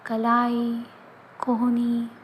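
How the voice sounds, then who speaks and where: A woman leading a guided yoga nidra relaxation, speaking in soft, often whispered instructions. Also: on the high side, completely flat delivery, drawn-out words, a little hiss or hum, low-quality recording. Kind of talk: guided meditation.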